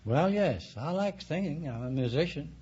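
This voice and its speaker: A man in his eighties from Arkansas with an American accent, answering a quiz host in a deadpan, droll way. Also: fairly high pitch, moderate pace. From Arkansas, United States. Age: 80s. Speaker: quiz show contestant. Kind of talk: interview answers on a radio show. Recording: dull old radio recording, faint noise.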